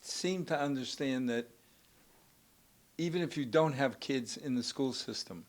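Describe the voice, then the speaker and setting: A man's voice: mid-range voice, measured pace. A man whose first language is Portuguese, hosting a casual interview in an easygoing, conversational way. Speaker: show host.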